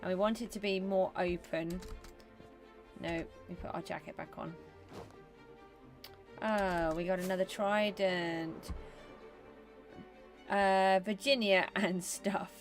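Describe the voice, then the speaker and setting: A woman's voice: medium pitch, steady tone, takes it slowly, long pauses, faint music behind. A British woman streaming a building game live, chatting casually and thinking aloud with frequent ums. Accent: British English. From England. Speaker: gaming livestreamer. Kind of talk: casual live-stream chat while playing.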